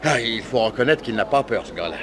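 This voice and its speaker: A man's voice: mid-range voice, animated, fast talker, natural voice. An actor playing a chummy, easily impressed man with a familiar, slangy manner in a mid-century French radio drama. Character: chummy, easily impressed man who admires pretty women. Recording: noisy old radio drama recording.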